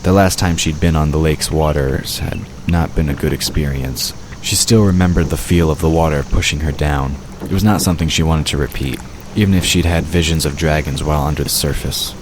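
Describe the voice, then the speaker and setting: A man's voice: a bass voice, steady tone, fairly quick, hardly any pauses, background noise. A man reading scripted fantasy story narration as the narrator of an audio drama.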